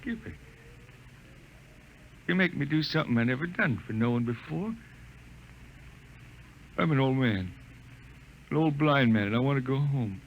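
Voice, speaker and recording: An actor playing an old, blind, homesick spaceman with a folksy, rough-hewn manner, in 1950s radio drama style. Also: mid-range voice, animated, normal speed, long pauses, slight background noise, natural voice, poor audio. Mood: pleading, wheedling. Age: older. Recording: old radio recording with loud music.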